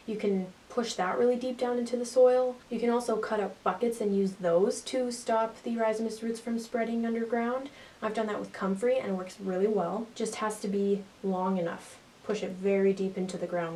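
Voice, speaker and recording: Woman gardening host, casual and playful, mid pitch. Slightly distant mic with faint hiss. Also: a little mellow. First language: not English; Swiss German is a second language. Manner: chatty, playful asides to viewers. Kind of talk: plant care explainer.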